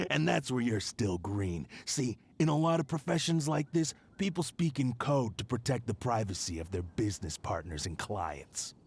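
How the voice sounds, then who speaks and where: An actor playing an excitable, shifty, know-it-all bandit underling in a video game. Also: male voice, mid-range voice, unhurried, a little hiss or hum.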